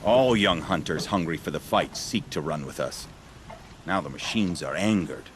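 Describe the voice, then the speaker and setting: A proud warrior of a hunting tribe with a very low voice. He declaims solemnly and sternly, as in a game cutscene, over clearly audible background noise. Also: expressive, moderate pace. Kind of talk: video game cutscene acting.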